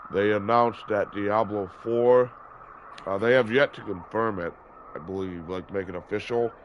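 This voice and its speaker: A man in his early thirties hosting a gaming video, stuffy and sore-throated from a cold, rambling with many fillers and correcting himself. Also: fairly low pitch. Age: 30s. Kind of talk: casual gameplay commentary. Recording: dull lo-fi audio with background noise.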